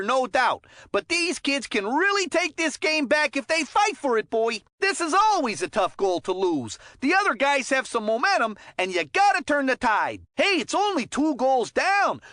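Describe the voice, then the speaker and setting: Folksy hockey announcer for a kids game, swoopy lively voice, super dry sound, chummy n upbeat. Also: female voice, high-pitched, no room sound. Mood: concerned but hopeful. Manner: folksy, chummy, slangy and encouraging. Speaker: hockey commentator character. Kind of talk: video game sports commentary voicelines.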